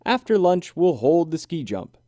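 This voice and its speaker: A man's voice: fairly high pitch, very animated, normal speed, mellow, no room sound, studio quality, pitched above the performer's own voice. A man playing a male animal judge in a storybook, laying out rules in an official, instructive manner.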